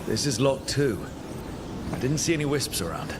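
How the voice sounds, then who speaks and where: A man's voice: mid-range voice, lively intonation, moderate pace, background noise, natural voice. An actor playing a young, plain-spoken male adventurer in a fantasy video game, direct and casual. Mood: matter-of-fact. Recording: game music behind the voice.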